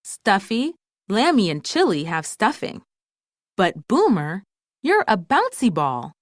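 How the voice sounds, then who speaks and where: A woman's voice: mid-range voice, huge pitch swings, slow pace, no echo, clean studio sound. A woman voicing a caring, confident young girl who doctors toys in a storybook, explaining things reassuringly.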